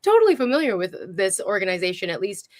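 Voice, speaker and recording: A woman's voice: mid-range voice, sing-song, fast. A woman hosting a history talk in a casual, effusive, enthusiastic conversational way. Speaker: host.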